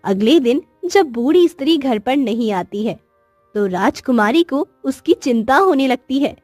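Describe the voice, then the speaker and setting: A woman narrates a children's story in a high voice. The scripted delivery is recorded very dry, with faint music behind it. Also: lively intonation, unhurried, a little mellow, no room sound.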